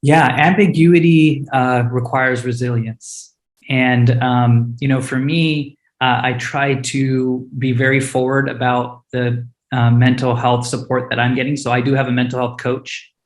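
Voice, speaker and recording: A man's voice: medium pitch, little pitch movement, unhurried, echoey room. A man, a startup founder with Hindi as his first language, answering panel questions earnestly and openly, with frequent fillers and restarts.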